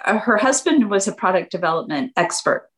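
A woman in her fifties, a guest chatting casually in an interview, animated and acting out what her friends said. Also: a little fast. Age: 50s. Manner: animated, acts out quoted friends in a higher voice. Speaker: guest entrepreneur.

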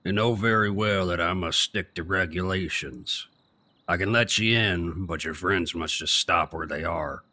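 Man playing a forceful, gruff old-fashioned gatekeeper. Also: low voice, measured pace, exaggerated voice. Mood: gruff, stubborn. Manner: rough working-class speech, nonstandard grammar. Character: gruff, stubborn, dutiful male gatekeeper, an ex-prizefighter. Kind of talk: audio drama acting.

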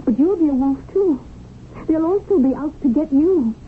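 An actress playing a plain, wounded wife in a 1970s radio drama, speaking in a deliberate, pointed, quietly reproachful way. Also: female voice, high-pitched, lively intonation. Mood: knowing, needling. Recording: dull, noisy old radio broadcast recording.

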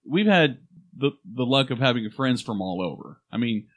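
A man's voice: medium pitch, animated, moderate pace. A man with an Oklahoma accent co-hosting a casual podcast, chatty and playful, given to slipping into other voices. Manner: playful, slips into put-on accents. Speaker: podcast co-host.

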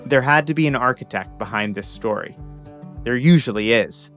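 A man's voice: mid-range voice, moderate pace, a bit bright, faint music behind. A young man hosting a podcast, reading a scripted intro with wry, self-aware humor. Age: young adult. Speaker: podcast host. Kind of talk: scripted narration and interview questions.

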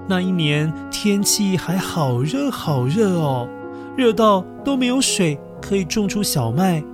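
A man narrating a children's story in a gentle, coaxing manner, speaking to young listeners. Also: high-pitched. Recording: narration over backing music. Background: music.

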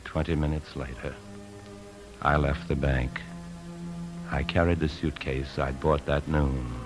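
A man's voice: very deep voice, little pitch movement, a little slow. An American man acting in a 1950s radio drama as an anxious bank officer secretly stealing a fortune, tense and confiding as he narrates. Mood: tense narrating. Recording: 1950s radio broadcast with music.

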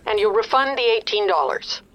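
Animated, anxious middle-aged caller. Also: female voice, medium pitch, brisk. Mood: relieved, businesslike. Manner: fretful, exasperated, emphatic. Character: anxious, insistent middle-aged woman customer. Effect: phone call filter. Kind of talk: scripted audio comedy drama dialogue. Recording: dull, lo-fi phone line.

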